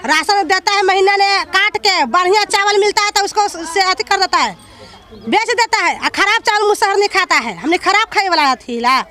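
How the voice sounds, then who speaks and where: A woman's voice: extremely high pitch, expressive, normal speed, bright, forceful tone. A woman with a rural Bihari accent in a street interview, complaining emphatically and repeating herself as she goes. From Bihar, India. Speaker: villager being interviewed. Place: outdoors in a village settlement.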